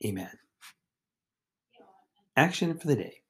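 A man reading a scripted daily devotional as a deacon and host, phrasing it deliberately in short, measured units. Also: mid-range voice, very animated, fairly bright tone. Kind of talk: scripted devotional reflection, read aloud.

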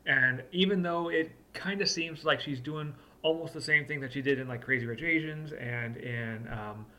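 A man's voice: fairly high pitch, normal speed, bright, forceful tone. A man co-hosting a casual movie review chat, rambling a bit and leaning on fillers like "like" and "uh". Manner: rambling, heavy on like and uh. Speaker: co-host.

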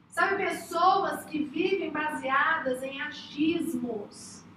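A woman's voice: high-pitched, a bit bright, slight background noise. A woman hosting, giving an emphatic motivational faith talk straight to her listeners. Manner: emphatic, exhorting the listener directly. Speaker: host. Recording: echoey room, voice from far off.

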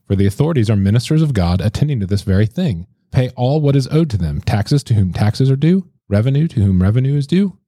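Husky, dark-toned male host. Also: medium pitch, no echo, clean studio sound. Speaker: podcast host. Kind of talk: scripture reading and moderating.

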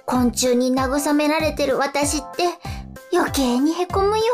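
A very high, girlish voice plays a castaway schoolgirl in a radio drama. She is blunt and exasperated, narrating in chatty, complaining asides. Music plays clearly underneath. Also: measured pace. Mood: annoyed. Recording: music behind the voice.

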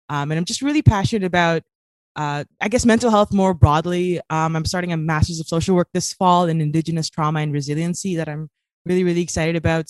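A woman's voice: deep voice, no echo. A woman in her late twenties who grew up in Kuwait, speaking as a podcast guest in an earnest, casual way with many fillers. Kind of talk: casual interview talk.

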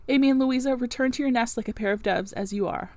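A woman voicing a brusque, teasing, commanding middle-aged gentleman in an old-fashioned storybook. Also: a little high, fast talker, dry recording, natural voice. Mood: composed, commanding. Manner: imperious, formal old-fashioned phrasing.

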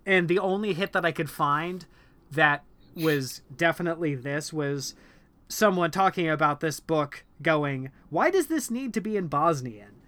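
A man with a bright, forceful, slightly high voice, recorded close and dry. He chats casually about a book, animated and full of ums and restarts. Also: unhurried, no echo. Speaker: podcast co-host discussing a book. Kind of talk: casual conversational chat.

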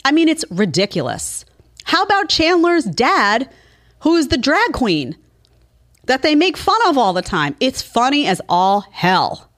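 A woman's voice: high-pitched, sing-song, unhurried, fairly bright tone. A woman hosting an opinion show, delivering a sarcastic, incredulous, emphatic monologue. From Italian family background. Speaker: show host.

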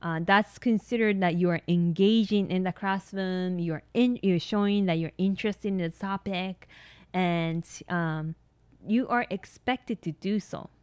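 A woman's voice: on the low side, measured pace, clean tone, no room sound. A woman in her thirties from Japan with a Japanese accent, a nurse practitioner hosting a podcast and talking earnestly with many ums and you-knows. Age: 30s. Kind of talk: solo podcast monologue.

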